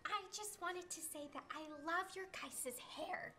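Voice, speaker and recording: A young woman with a high voice performs in a comedy sketch. Her delivery is playful, and she complains dramatically for laughs. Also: a little slow, pushed and bright. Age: young adult.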